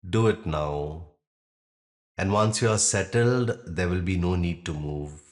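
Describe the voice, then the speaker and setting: A man with a deep voice leads a guided relaxation. He speaks very slowly and calmly, in short measured phrases separated by long pauses. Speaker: yoga nidra meditation guide.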